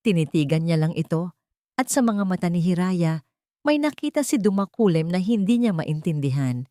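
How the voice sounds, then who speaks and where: Low-pitched woman narrating a dramatic story. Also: animated, normal speed, mellow, no echo, clean studio sound.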